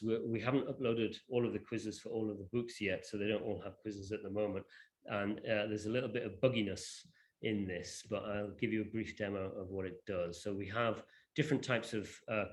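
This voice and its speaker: A man's voice: fairly low pitch, a little hiss or hum. A man presenting an informal live product demonstration, explaining things in a hesitant way. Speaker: presenter demonstrating a digital library. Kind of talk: live product demo to an audience.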